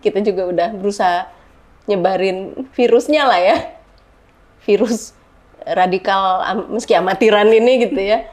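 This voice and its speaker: A middle-aged woman speaks plainly as a guest on a relaxed podcast. She talks frankly, slips into slang, and hammers points by repeating words. Her pitch sits in an ordinary middle range. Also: moderate pace. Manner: frank, forceful, mixes slang and repeats herself for emphasis. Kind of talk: casual podcast chat.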